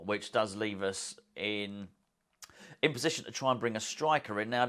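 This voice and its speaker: Chatty man with a low voice. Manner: chatty, rambling, self-mocking asides. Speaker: football fan vlogger. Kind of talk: casual chat to camera. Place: hotel room.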